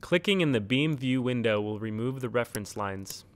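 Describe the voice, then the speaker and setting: A man reading a scripted instructional tutorial in a measured, neutral, step-by-step way. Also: medium pitch, animated, dry recording. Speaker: tutorial narrator. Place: close, dry studio-style voice-over recording.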